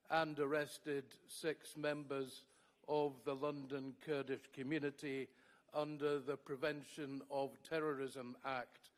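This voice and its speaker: A Scottish man, a trade union secretary, giving a measured conference speech with some fillers. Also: fairly high pitch. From Scotland.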